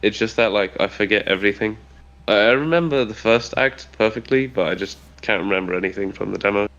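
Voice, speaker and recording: A man's voice: fairly low pitch, fairly quick, a bit bright, highs cut off. A young man whose first language is Dutch, chatting loosely on a voice call and swearing casually. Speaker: caller joining a stream.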